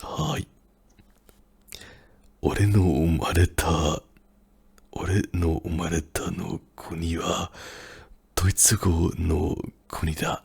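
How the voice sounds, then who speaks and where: A man from a German-speaking country with a German accent, speaking softly and often in a whisper as he answers viewer questions in a hesitant way, with many pauses. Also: low-pitched, fairly even intonation, gravelly, slightly rough. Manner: hesitant, many fillers, searching for words. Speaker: ASMR channel host. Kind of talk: soft-spoken ASMR Q&A.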